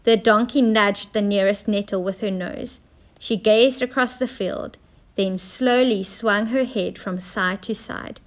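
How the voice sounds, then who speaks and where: A woman teacher narrating a children's story in an expressive, deliberate way. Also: mid-range voice.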